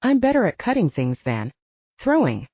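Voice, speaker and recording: A woman's voice: medium pitch, wildly swooping pitch, normal speed, mellow, slightly rough, dry recording, highs cut off, exaggerated voice. A woman playing a smitten young woman, awkward and guarded, in audiobook dialogue. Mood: awkward, hopeful.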